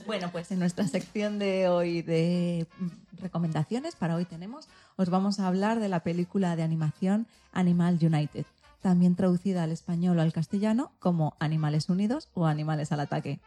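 Mellow, lowish-voiced Spanish woman presenter. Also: fairly quick. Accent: Spain. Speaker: radio programme co-host. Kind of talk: scripted magazine-show presenting.

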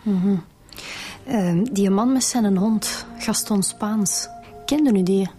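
A woman's voice: medium pitch, slow, dark tone, faint music behind, natural voice. A woman playing an informal, chatty police detective in a radio drama, with a Flemish accent. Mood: businesslike. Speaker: actress playing a police inspector.

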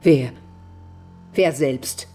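A woman's voice: very deep voice, very slow, warm tone, soft background music, exaggerated voice. An actress playing a distraught, fierce noblewoman and mother, declaiming tragically and accusingly in a mid-century radio drama. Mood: anguished.

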